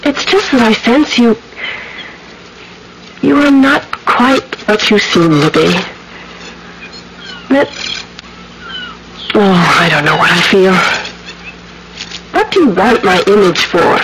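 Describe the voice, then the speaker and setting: An actress playing a kind, anxious young gentlewoman in an old-time radio drama, speaking in formal, genteel phrases. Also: mid-range voice, expressive, takes it slowly, long pauses, background noise. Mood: earnest, guarded. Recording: old radio recording with music.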